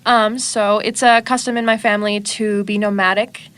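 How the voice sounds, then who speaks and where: A woman in her twenties speaks as a podcast guest, her voice smooth and clear at a middling pitch. She tells stories in an earnest, matter-of-fact way, like easy conversation. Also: little pitch movement, normal speed, a bit bright, no echo. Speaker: interview guest. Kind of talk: casual interview conversation.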